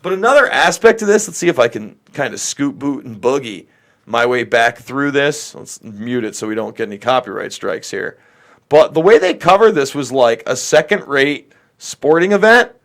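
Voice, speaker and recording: A man's voice: medium pitch, animated, moderate pace, a bit bright. American man, mid-range voice, livestream host, opinionated, exasperated, emphatic delivery, improvised commentary. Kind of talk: off-the-cuff livestream political commentary, addressing viewers.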